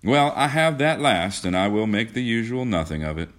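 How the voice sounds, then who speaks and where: An earnest young romantic from a storybook, played by a male reader. The pitch moves about freely and widely, giving the character an expressive, youthful intensity. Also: moderate pace. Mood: disillusioned. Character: earnest young man, excitable romantic turned disillusioned.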